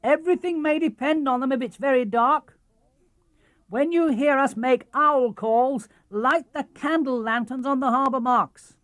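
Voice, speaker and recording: Man playing a high, commanding boy captain. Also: measured pace, a bit bright, dry recording. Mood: urgent, calling. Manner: commanding, emphatic, calling out. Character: boy captain giving orders, brisk and commanding.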